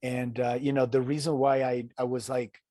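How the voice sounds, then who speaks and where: A man from Italy with an Italian accent, telling his story as a podcast guest in an earnest, halting way full of restarts. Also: mid-range voice, flat delivery, mellow, no room sound, studio quality. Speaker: guest, ultrarunner. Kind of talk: casual interview storytelling.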